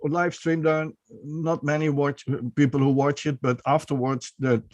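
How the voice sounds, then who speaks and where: A man's voice: fairly high pitch, fairly even intonation, normal speed, a little mellow, dry recording. A man hosting an online talk show, hesitant, with many restarts and fillers. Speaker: podcast host.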